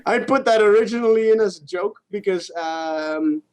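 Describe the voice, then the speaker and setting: A male gaming co-host with a noticeably high-pitched voice. He talks casually and haltingly, doubling back on his words and dropping in curses as he discusses play.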